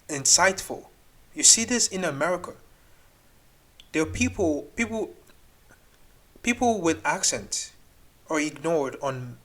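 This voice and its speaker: A man's voice: on the high side, fairly bright tone. A man hosting a reaction video, talking back at clips in a rambling, exasperated way. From Nigeria. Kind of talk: casual reaction commentary.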